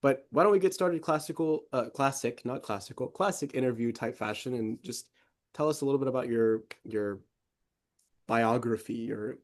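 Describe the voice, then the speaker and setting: A man's voice: mid-range voice, normal speed. A young man hosting an interview podcast, enthusiastic and casual, with many ums. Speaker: podcast host.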